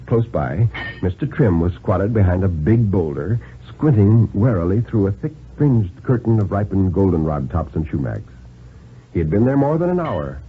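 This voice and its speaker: A man giving a dramatic, deliberate reading of a thriller story in the style of 1950s radio. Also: lowish voice, a little hiss or hum. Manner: deliberate, dramatic, expressive phrasing. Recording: narrow-band vintage 1950s radio recording.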